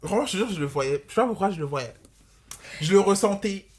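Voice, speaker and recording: A man's voice: sits high, expressive, quick pace. A man in his twenties chatting casually as a podcast guest, rambling and slangy, dropping in English words. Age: 20s. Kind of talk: casual chat.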